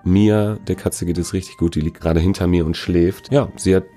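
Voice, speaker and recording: A man with a very low, warm voice chats casually as a musician being interviewed. He is slangy and loose, teasing as he talks. Faint music plays behind him. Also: fairly even intonation, fairly quick.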